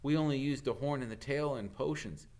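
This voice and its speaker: A man voicing an anxious, curious young schoolboy in a storybook, speaking in urgent, halting, questioning phrases. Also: mid-range voice, darkish tone, voice pushed up, natural voice. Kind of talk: dramatic audiobook character reading.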